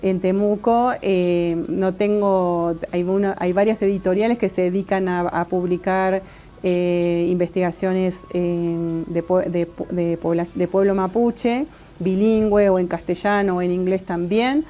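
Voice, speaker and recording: Hesitant Argentine woman with a mellow voice. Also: on the low side, steady tone, measured pace. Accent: Argentine Spanish. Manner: thoughtful, many hesitations and restarts. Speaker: interviewee, political scientist. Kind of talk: conversational interview answers.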